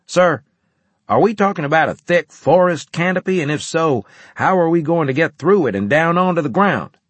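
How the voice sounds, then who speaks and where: A man voicing an eager male military adjunct in an audiobook, speaking in a brisk, forceful way. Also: on the high side, animated, no room sound, voice pushed up. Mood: questioning. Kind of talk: audiobook character dialogue.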